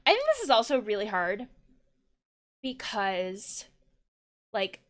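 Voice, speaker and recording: A woman's voice: mid-range voice, wildly swooping pitch, slow. A woman giving casual let's play commentary as a therapist, thinking aloud in a reflective way. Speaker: therapist playing and commenting on a video game.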